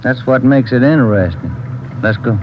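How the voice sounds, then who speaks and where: Steady police partner played by a man, plain delivery, 1940s radio, hiss and lo-fi transcription. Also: mid-range voice, normal speed, natural voice.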